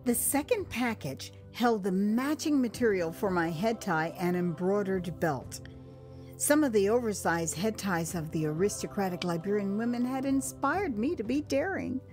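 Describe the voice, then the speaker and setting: An American woman narrating her own memoir as an audio drama, with theatrical, expressive delivery that savours the words. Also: medium pitch, moderate pace, faint music behind. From United States.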